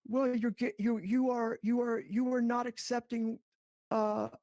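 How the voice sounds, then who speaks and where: A woman in a spontaneous debate, speaking haltingly and often repeating her words. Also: fairly high pitch, level tone, mellow, no room sound. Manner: halting, repetitive. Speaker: debate guest.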